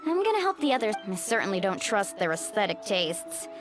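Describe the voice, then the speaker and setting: A woman's voice: medium pitch, big pitch swings, fairly quick, over music, lightly acted. An actress playing an upbeat, easygoing young woman who takes charge in an anime game, breezy and chatty.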